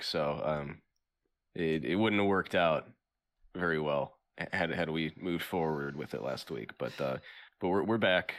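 A young man co-hosting a podcast whose voice is scratchy from illness, talking in a laid-back, halting way and laughing often. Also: a bass voice, a bit bright. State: scratchy voice while recovering from illness. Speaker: podcast co-host. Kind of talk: casual comedic chat.

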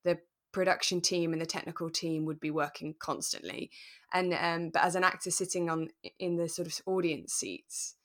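A British woman co-hosting a relaxed chat about radio drama, expressive and enthusiastic in her delivery. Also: on the low side, normal speed. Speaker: podcast co-host and actor.

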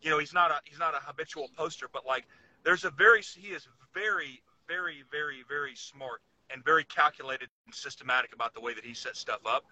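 A man's voice: a little high, brisk, bright, forceful tone, dry recording, highs cut off. A man co-hosting a casual sports podcast, talking earnestly and emphatically, piling up intensifiers. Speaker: podcast co-host.

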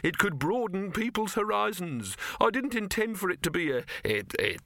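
Man doing a high-pitched, morose self-pitying man. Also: sing-song, sharp tone, dry recording, clean studio sound, heavily acted. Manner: halting, drawn-out lament. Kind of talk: audiobook character voice.

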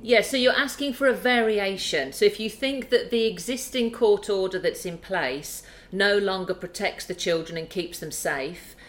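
A woman hosting a live call-in session, calmly explaining family law to callers. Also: mid-range voice, normal speed, few pauses. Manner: calm, explanatory, reassuring.

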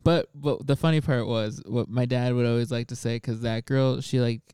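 Rambling young man, mellow smooth voice. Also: mid-range voice, fairly even intonation, moderate pace, no room sound, studio quality. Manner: rambling, laughs a lot, many fillers and restarts. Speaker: podcast co-host, stand-up comic. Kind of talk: casual chat.

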